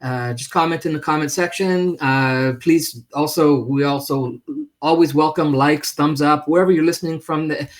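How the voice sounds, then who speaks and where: A man hosting a live stream in casual, unscripted talk, speaking haltingly in a friendly way as he makes announcements. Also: a little high, fairly even intonation.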